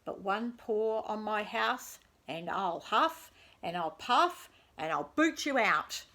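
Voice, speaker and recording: A woman voicing a lazy, horrid little male pig from a storybook, rude and defiant, shouting threats. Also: medium pitch, animated, slow, exaggerated voice. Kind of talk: children's storybook character voice.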